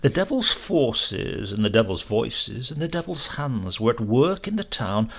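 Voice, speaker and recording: A male narrator reading a comic story in a wry, expressive manner. His voice has a gravelly texture and swings widely in pitch through the telling. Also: a little slow. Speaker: reader, narrator.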